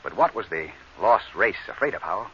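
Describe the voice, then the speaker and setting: An actor playing a commanding, skeptical spaceship captain in a forceful 1950s radio drama style. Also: male voice, deep voice, lively intonation, fairly quick. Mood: sober. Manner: forceful, questioning. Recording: dull, slightly noisy vintage radio recording.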